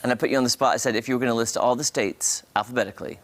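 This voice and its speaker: A man's voice: medium pitch, fairly even intonation, racing. A man hosting a morning TV show, chatting casually with a guest and laughing often. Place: TV studio.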